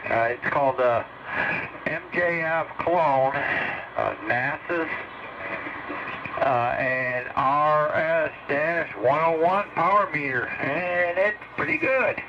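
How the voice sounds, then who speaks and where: A man chatting casually over CB radio and giving hobby tips in a halting, folksy, rambling way. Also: a little high. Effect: heard over CB radio. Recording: dull, noisy CB radio transmission.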